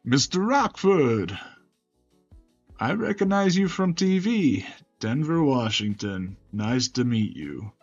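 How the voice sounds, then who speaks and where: A man's voice: medium pitch, very animated, takes it slowly, no room sound, highs cut off, soft background music, heavily acted. Visual novel caregiver, male, played by a man: earnest, protective, folksy and courteous, taking his time, close dry sound. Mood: warm, courteous.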